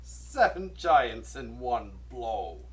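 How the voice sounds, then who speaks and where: A man voicing an enormous, dull-witted, boastful giant in a storybook reading, booming and scornful. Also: very animated, extremely slow, distant mic.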